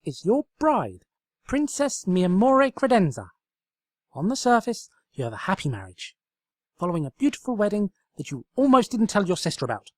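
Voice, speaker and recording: A man's voice: big pitch swings, moderate pace, no echo. A man voicing a manic, bossy young female unicorn in a comedic story reading, ranting and melodramatic. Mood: bossy, smug. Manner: shrill, ranting, insistent.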